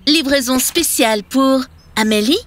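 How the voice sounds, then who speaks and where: A woman's voice: sits high, unhurried. A woman voicing a curious little girl who asks lots of questions, in a cartoon dub. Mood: careful. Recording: children's music playing behind.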